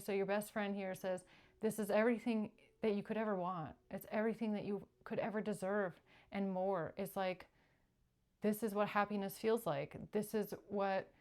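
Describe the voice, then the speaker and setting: A woman with a medium-pitched voice gives a tarot reading as the host. She speaks spontaneously and directly to her viewers, leaving pauses and repeating short phrases to stress them. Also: darkish tone. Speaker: tarot reader and channeller, show host.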